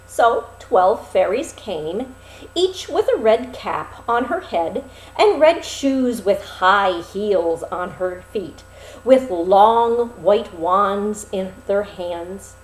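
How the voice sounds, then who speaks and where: A woman narrating a fairy tale expressively, with dramatic, deliberate phrasing and pauses. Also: medium pitch, sing-song. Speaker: storyteller. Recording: roomy, echoing sound.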